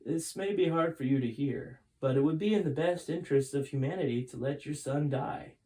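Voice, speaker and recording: A courteous older man, played by a male reader in a storybook, pitched higher. He is frank and cautious, and speaks in a measured, emphatic way. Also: mellow, lightly acted. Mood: grave, frank.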